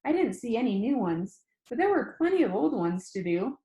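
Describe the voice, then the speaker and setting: A woman reading a novel aloud as expressive storybook narration, telling it in the first person. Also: a little high, normal speed. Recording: roomy, echoing room sound.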